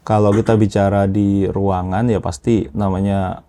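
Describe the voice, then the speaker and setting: A man's voice: sits low, flat delivery, normal speed, warm tone. A man casually explaining technical matters as an expert, often checking understanding with short tag questions. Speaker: audio system engineer being interviewed as an expert.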